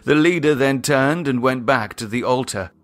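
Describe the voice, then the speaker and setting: A man narrating a horror novel in a measured, dramatic storytelling manner. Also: mid-range voice. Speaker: audiobook narrator.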